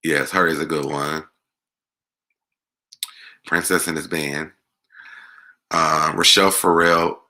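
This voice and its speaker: An American man with a deep voice hosting a casual music Q&A, talking conversationally and repeating words for emphasis. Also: fairly even intonation, slow, long pauses, bright, forceful tone. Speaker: online show host. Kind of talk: casual live Q&A chat about music.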